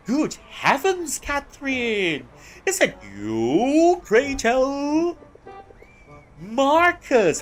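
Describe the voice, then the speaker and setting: A man reading game dialogue in a deliberately overacted, drawn-out posh voice, playing a pompous gushing aristocrat. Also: high-pitched, pitched above the performer's own voice, full character voice. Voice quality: theatrical, drawn-out, exaggerated formal diction.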